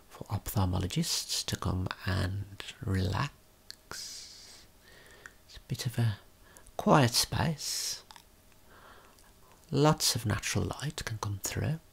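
A man giving a gentle, unhurried ASMR tour of a video game in a breathy, whispered, soft-spoken voice. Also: on the low side. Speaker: ASMR creator and tour guide.

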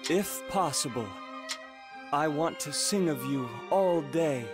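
A man's voice: a little high, takes it slowly. A man voicing a noble, cultured, proud young warrior-strategist in a video game, with formal and earnest delivery. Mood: warm, earnest. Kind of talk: theatrical video game dialogue. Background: music.

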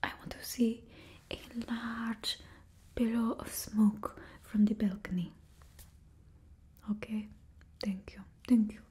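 A woman's voice: on the high side, steady tone, takes it slowly, long pauses, warm tone, slightly rough, heavily acted. A young woman voicing a bossy female stylist to supervillains with a put-on Russian accent, whispering blunt remarks close to the mic. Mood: whispery. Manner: blunt and condescending. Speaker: ASMR creator. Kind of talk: ASMR roleplay. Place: indoor studio with painted backdrop. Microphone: close ASMR mic.

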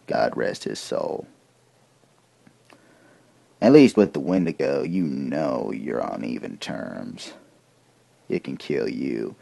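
An actor playing a grim, weathered army corporal of 1880s America, telling his account in a deliberate, folksy, gravelly way for an audio drama. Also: rumbling low, a little mellow, no echo, exaggerated voice. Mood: somber, hardened. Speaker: narrator of a soldier's account. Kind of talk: dramatic first-person audio drama monologue.

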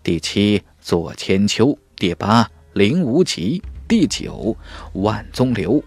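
A worldly, teasing fantasy warrior, acted by a male narrator. He talks in a low, knowing voice with wide swings, dry and close in an audiobook. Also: big pitch swings, darkish tone, breathy edge, no room sound, heavily acted. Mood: grave. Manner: sly chuckles, slow weighty delivery. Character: teasing, worldly young male warrior, sworn-brother type.

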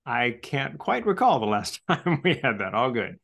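A man's voice: mid-range voice, very animated, normal speed, gravelly, a bit bright. Upbeat man hosting a video call. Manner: friendly, repeats words, upbeat. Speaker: club meeting host. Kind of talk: hosting an online club meeting.

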